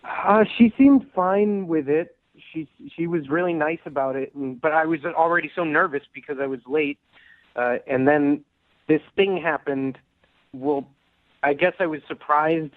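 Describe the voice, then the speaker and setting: A man's voice: a little high, unhurried, dry recording. A nervous, hesitant man rambling through a casual chat as a caller to a radio show. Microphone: telephone. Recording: band-limited phone line on radio.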